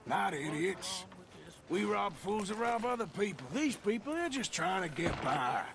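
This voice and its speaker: An actor playing a gruff, blunt middle-aged outlaw in a video game cutscene, sarcastic and plain-spoken. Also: male voice, sits high, sing-song, measured pace, background noise, full character voice. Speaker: outlaw gang member.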